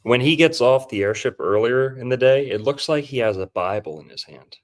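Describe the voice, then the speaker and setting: A man's voice: medium pitch, animated, moderate pace. A man hosting a podcast, casually breaking down a movie in a loose, rambling way with lots of fillers. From Florida. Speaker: podcast host.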